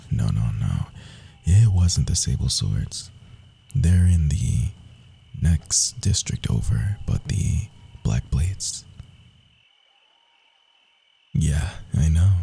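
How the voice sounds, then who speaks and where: A man playing a proud, wounded young rogue assassin in an intimate ASMR roleplay, speaking in a hushed, confiding way with dramatic pauses. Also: very deep voice, heavily acted. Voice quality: husky, breathy, often dropping to a whisper.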